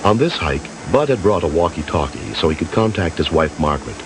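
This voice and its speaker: A man with a very low voice narrates a rescue story in a scripted documentary style. The audio is an old TV recording with clear background noise. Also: sing-song, fairly quick. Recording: noisy old TV broadcast audio.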